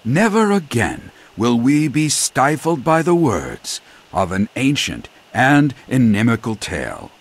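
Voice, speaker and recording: A solemn game character with a husky, breathy voice and sweeping intonation. He speaks slowly and formally, in florid, earnest phrases of gratitude and prophecy. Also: mid-range voice, exaggerated voice. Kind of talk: dramatic video game cutscene acting.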